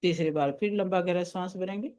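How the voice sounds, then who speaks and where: A man leading a guided meditation as a teacher, giving soothing, deliberate instructions. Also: high voice, fairly even intonation, a little mellow. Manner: soothing, deliberate, counting out breaths and steps.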